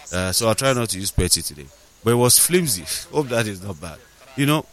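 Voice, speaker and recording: A man's voice: on the low side, a bit bright. Nigerian man hosting a morning talk show. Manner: conversational, reads messages aloud with fillers and asides. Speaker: radio talk show host. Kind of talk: morning call-in talk, reading listener messages.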